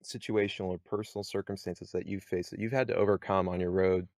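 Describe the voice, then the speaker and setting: Chatty podcast host man, low voice. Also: quick pace, dry recording, clean studio sound. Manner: relaxed, chatty, laughs often. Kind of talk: casual podcast chat.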